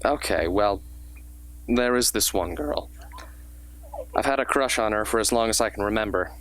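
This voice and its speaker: A man's voice: fairly low pitch, moderate pace, a bit bright, natural voice. A man playing a likeable, bashful, joking teenage boy in a radio drama.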